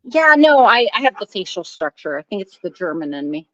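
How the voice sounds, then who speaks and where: A woman's voice: medium pitch, very animated, brisk, no room sound. A woman chatting casually and informally as a guest on a livestream, through dull lo-fi audio. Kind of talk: casual chat.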